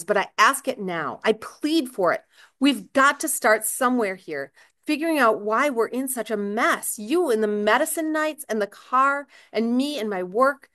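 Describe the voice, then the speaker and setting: A woman's voice: fairly high pitch, a bit bright, voice pushed up. A woman voicing a desperate, agitated husband in a novel reading, speaking with urgent, earnest pleading.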